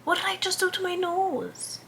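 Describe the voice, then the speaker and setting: A woman's voice: very high voice, big pitch swings, normal speed, vocal fry, a bit bright, a little hiss or hum. A woman in her twenties chatting casually while doing her makeup, rambling and interrupting herself, sometimes dropping into a whisper. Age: 20s. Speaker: vlogger.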